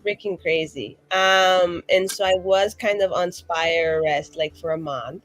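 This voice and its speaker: Woman rambling in character at a tabletop game, um-filled, soft music behind. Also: on the low side, unhurried, dry recording. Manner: rambling with many fillers and restarts. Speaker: player.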